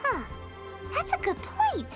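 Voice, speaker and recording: A woman voicing a tiny, excitable childlike fairy companion in a video game, with a chirpy manner. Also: very high-pitched, takes it slowly, heavily acted. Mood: curious, suspicious. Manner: chirpy, refers to self in third person. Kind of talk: video game character dialogue. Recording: music behind the voice.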